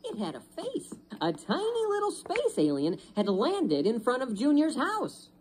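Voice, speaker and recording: A woman narrating a children's story in an expressive, suspenseful, dramatic way. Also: high voice, unhurried.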